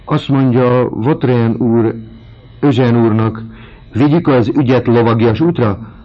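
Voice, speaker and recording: A man doing a gossipy, excited cook woman. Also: mid-range voice, pitched above the performer's own voice, close to an everyday voice. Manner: excited, chattering. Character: stout, gossipy female cook. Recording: dull lo-fi audiobook recording.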